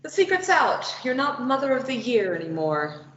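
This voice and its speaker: A woman reading a sharp-tongued captive in a table read. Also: a little high, very animated. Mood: sardonic. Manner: sardonic, quick retorts. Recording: dull, roomy lo-fi recording with faint noise.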